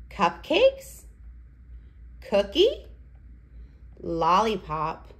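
Slow, lilting woman reading to toddlers. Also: fairly low pitch, a bit bright. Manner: sing-song, simple, deliberate phrasing with questions. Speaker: children's read-aloud host. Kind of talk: read-aloud for toddlers.

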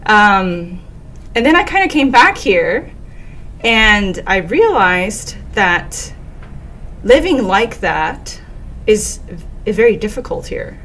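A woman's voice: mid-range voice, sing-song, slow, fairly bright tone. A young woman from Japan, a guest in an interview, talking in a friendly, chatty way with fillers. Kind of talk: casual interview talk. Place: office meeting room, indoors. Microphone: desk microphone.

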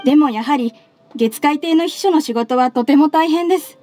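An actress playing an earnest, respectful young female secretary in a Japanese anime game, speaking politely and with measured care. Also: high voice, close to an everyday voice. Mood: earnest, reflective. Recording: studio voice over game music. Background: soft background music.

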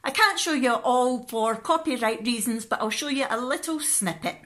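Female vlogger, thirties, bright and animated, talking casually to a handheld camera. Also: fairly high pitch, normal speed, slight background noise. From Scotland. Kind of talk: casual vlog chat to camera. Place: living room at home. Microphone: phone or camera at arm's length.

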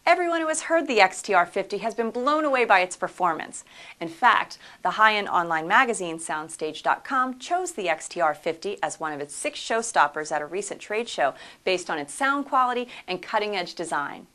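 A woman's voice: medium pitch, lively intonation, brisk, bright, forceful tone. A woman reading scripted promotional narration for a product video in a polished, upbeat sales manner.

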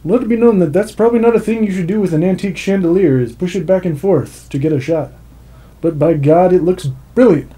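Mellow-voiced man with wry commentary. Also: sits high, a little fast. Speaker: film director. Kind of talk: behind-the-scenes commentary. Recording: faint music underneath.

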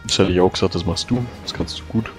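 A man playing a tabletop game, thinking out loud about tactics with many restarts and fillers. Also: deep voice, fast, soft background music. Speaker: player. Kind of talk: strategy discussion in a tabletop game.

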